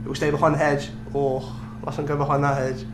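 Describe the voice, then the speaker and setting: A man with a fairly high voice tells a story in casual podcast style. He sounds amused as he explains. There is clear noise and hum behind him. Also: little pitch movement, brisk. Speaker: podcast co-host. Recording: clearly noisy recording with hum.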